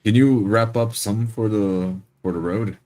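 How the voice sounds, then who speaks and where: A man playing a sincere, polite, awkward adventurer with halting speech in a tabletop game. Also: lowish voice, fairly even intonation, dark tone, no room sound, voice pushed up, natural voice.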